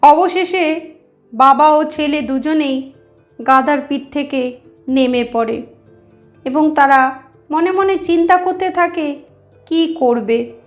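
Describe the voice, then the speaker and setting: A woman's voice: high voice, slow, long pauses, soft background music. A woman narrating a moral story as a channel host, explaining things directly to her listeners.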